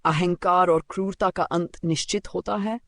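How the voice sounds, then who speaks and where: A male storyteller reading a scripted children's fable. His voice is slightly high for a man and noticeably creaky, captured very dry with no room sound. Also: fairly even intonation, moderate pace, a bit bright, dry recording.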